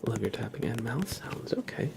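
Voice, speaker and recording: Guy doing asmr stream chat, soft n gentle whispery voice w some gravelly fry, takes his time. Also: mid-range voice, big pitch swings, normal speed. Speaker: ASMR livestreamer.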